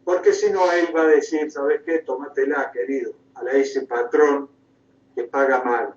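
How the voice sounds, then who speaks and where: A man's voice: mid-range voice, steady tone, moderate pace. A man with an Argentine Spanish accent giving an impassioned political lecture, emphatic and oratorical, with repeated phrases and rhetorical questions. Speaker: guest lecturer, political activist. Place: indoor room, recorded at a conference. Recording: roomy, distant mic, dull sound.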